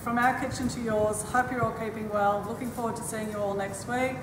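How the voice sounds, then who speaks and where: A woman's voice: on the high side, fairly even intonation, fairly quick, noisy background. An older woman teaching a cooking demonstration in a school kitchen, cheerfully explaining each step. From Australia. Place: large school kitchen, indoors. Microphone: camera at a distance. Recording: echoey kitchen from far off.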